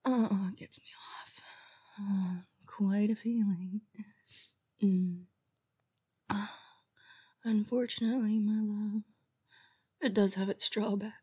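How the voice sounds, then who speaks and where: A woman voicing an unstable, possessive female lover in an audio roleplay, speaking in a breathy, often whispered voice. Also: medium pitch, warm tone, dry recording. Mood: sultry, menacing. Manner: slow, intimate delivery that swings to desperate pleading.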